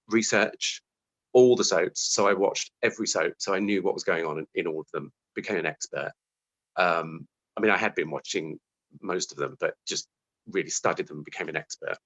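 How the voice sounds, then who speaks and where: A British man with a fairly low voice speaks as an interview guest. He is reflective and conversational, slipping into animated quotes of his own thoughts as he explains things. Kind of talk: conversational interview answer, recounting own career.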